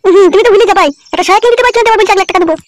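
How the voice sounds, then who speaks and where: Greedy, wary cartoon mother female actor, very high pitch, rapid questioning delivery. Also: no room sound. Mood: eager. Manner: blunt, questioning. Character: money-hungry, wary village woman, a mother. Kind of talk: comedy cartoon dub.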